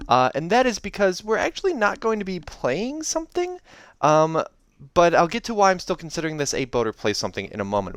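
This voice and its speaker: Animated, clear-voiced man hosting a casual reading. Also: on the high side, no room sound. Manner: chatty, rambling, self-correcting. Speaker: host of a reading series. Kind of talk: casual video commentary and read-aloud. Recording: music behind the voice. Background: soundtrack music.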